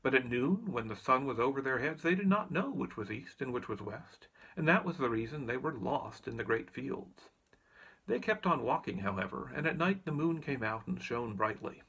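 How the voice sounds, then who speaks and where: A man's voice: medium pitch, lively intonation, moderate pace, fairly bright tone, slightly rough, rough recording. A man reading a children's story aloud as narrator. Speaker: audiobook reader.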